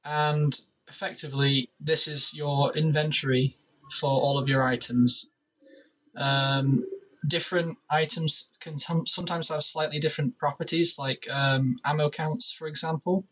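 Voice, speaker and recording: A man's voice: fairly high pitch, steady tone, a little slow, bright, forceful tone, a little hiss or hum, low-quality recording. A man presenting a casual gaming tutorial, explaining things step by step as he works on screen.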